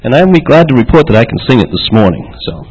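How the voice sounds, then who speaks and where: A man with a Boston accent, a guest soloist at a church, who talks folksy and self-deprecating before singing a hymn slowly. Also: medium pitch, sing-song, darkish tone, slightly rough, rough recording. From Massachusetts, USA. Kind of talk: brief testimony then solo hymn singing. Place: church sanctuary.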